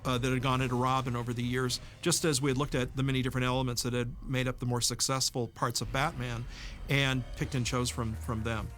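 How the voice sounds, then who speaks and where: A man gives a reflective interview about creative work. His pitch is average and stays quite level, and he explains things in a measured, articulate way. Music plays clearly behind him. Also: flat delivery, a bit bright. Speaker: animated series writer-producer interviewed.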